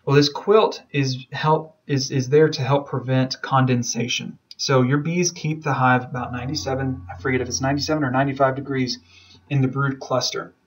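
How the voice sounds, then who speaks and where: A man's voice: medium pitch, flat delivery, normal speed, rough recording. A man with a mid-range voice delivers an informal lesson. He explains things step by step in a casual, enthusiastic way, like an instructor talking through slides. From southern United States. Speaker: beekeeping instructor. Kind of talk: informal lecture over slides.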